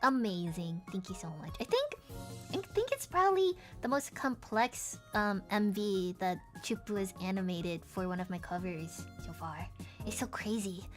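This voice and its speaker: A woman's voice: medium pitch, animated, moderate pace, faint music behind. A woman streamer chatting casually with viewers, rambling with plenty of fillers and little giggles, her voice sounding tired and strained.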